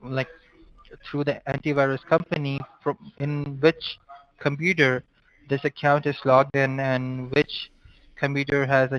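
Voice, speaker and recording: A man's voice: mid-range voice, a bit bright, no room sound, rough recording. A man working as a phone agent, explaining procedures formally and insistently in call-center style. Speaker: phone scammer posing as support agent.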